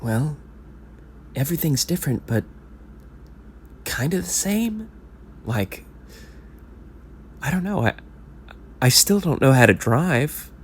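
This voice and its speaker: A man's voice: a little high, very animated, takes it slowly, pauses often. Noisy recording, defeated man playing a sports fan. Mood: numb, uncertain. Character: lifelong hopeless Knicks fan, recovering drinker, wistful and defeated. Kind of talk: audio drama monologue and dialogue.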